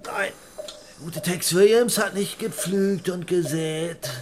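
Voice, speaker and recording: A bitter ruined bandleader. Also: male voice, high voice, slow, noisy background. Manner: ranting, self-pitying. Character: bitter ruined male bandleader, middle-aged, boastful. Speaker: washed-up western swing bandleader. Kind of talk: radio play acting.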